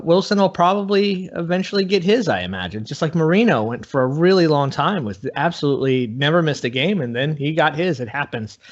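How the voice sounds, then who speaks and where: A man giving casual sports analysis as a podcast guest, in a measured, halting way, on dull lo-fi audio. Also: medium pitch.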